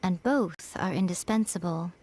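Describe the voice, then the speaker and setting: An actress playing a sly, playful shrine priestess in a video game, with a teasing, eloquent way of talking. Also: female voice, fairly low pitch, normal speed, dark tone, a little hiss or hum, close to an everyday voice. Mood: poised, sincere. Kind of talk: video game character dialogue. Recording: game audio with music behind.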